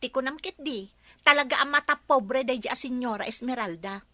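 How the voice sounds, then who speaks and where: A woman's voice: high voice, a little fast, bright, forceful tone, dry recording. A woman playing an outspoken young friend. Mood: indignant. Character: indignant young female friend, sympathetic.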